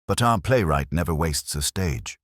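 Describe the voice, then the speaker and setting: A man narrating as a mild, thoughtful inspector from a mystery storybook, with a very deep voice. He reasons softly and deliberately, with expressive rises and falls. The sound is dry. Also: dry recording. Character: mild, thoughtful male English police inspector. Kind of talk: storybook character dialogue.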